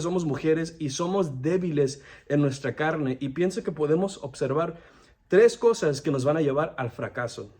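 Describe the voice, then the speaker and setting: A man's voice: a little high, a little mellow. A young man giving an earnest devotional sermon, often stopping to restart his words. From United States. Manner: earnest, halting, frequent restarts. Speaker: devotional speaker.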